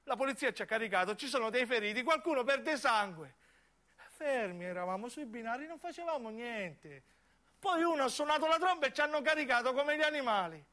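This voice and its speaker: A man's voice: very high-pitched, lively intonation, a little fast, pushed and bright. A man with a southern Italian accent performing a dramatic, impassioned theatrical monologue for radio, emphatic and repetitive. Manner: impassioned, emphatic, repeating phrases. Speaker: narrating actor.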